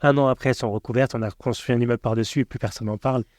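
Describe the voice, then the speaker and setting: A man's voice: medium pitch, steady tone, darkish tone, dry recording, clean studio sound. A man from the Paris region hosting a casual podcast, chatty and opinionated, often jumping in. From Paris region, France. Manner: chatty, opinionated, quick to interject. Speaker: podcast host.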